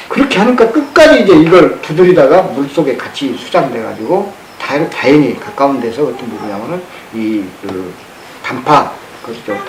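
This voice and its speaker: A man giving an informal public lecture in an earnest, halting way, often repeating his phrases. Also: medium pitch. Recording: roomy, echoey with background noise.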